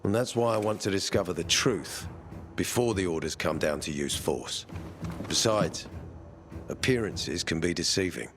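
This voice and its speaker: Deep, stern military officer, music behind. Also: male voice, normal speed, a bit bright. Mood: grave, urgent. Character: stern, commanding male military peacekeeper officer. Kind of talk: video game cutscene acting.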